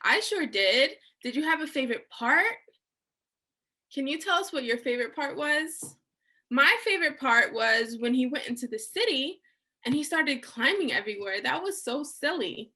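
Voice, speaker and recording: Woman, high pitch, bright tone, children's read-aloud host, encouraging, question-asking. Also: expressive, normal speed. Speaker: children's story-time host.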